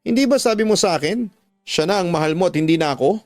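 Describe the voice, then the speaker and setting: A man's voice: high voice, lively intonation, fast talker, no echo, studio quality. A man playing a heartbroken, bitter jilted lover in a radio drama, speaking with reproachful emphasis over music. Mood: hurt, reproachful. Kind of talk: Filipino radio drama acting.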